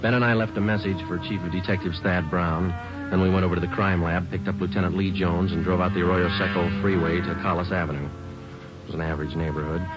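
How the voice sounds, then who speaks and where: Deadpan low-voiced actor as police detective, old radio. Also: male voice, close to an everyday voice. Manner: deadpan, terse, matter-of-fact. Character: terse, businesslike male homicide police detective. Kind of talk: 1940s-50s radio drama acting, clipped first-person narration. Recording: muffled old radio broadcast, music.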